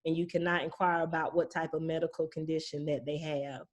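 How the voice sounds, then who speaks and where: A woman's voice: low-pitched, flat delivery, fairly quick. A woman speaking as a guest property manager, explaining conversationally with anecdotes in a plain-spoken, folksy way.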